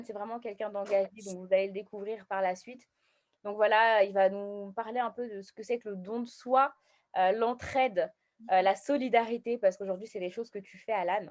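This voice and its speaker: A woman's voice: medium pitch, fairly even intonation, normal speed, a little mellow. A woman hosting a casual live interview in an enthusiastic, encouraging way. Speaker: interviewer, show host.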